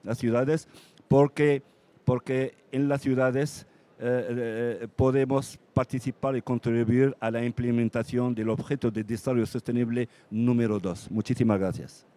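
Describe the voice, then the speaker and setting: Halting man, many restarts. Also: medium pitch, steady tone, dark tone. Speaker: mayor. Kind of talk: conference panel talk. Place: conference panel.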